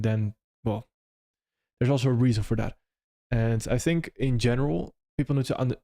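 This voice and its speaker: A man's voice: mid-range voice, dark tone. A young man, not a native English speaker, hosting a live stream, reading viewer questions aloud and answering in a loose, conversational way with many restarts. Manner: loose and conversational, frequent restarts and "if that makes sense". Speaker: trading stream host.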